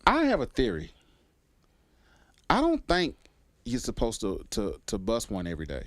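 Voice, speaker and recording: A man's voice: mid-range voice, normal speed, a little hiss or hum. A middle-aged man hosting a casual comedic talk show, swearing freely and arguing his point with repetition. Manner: animated, argumentative, repeats himself for emphasis.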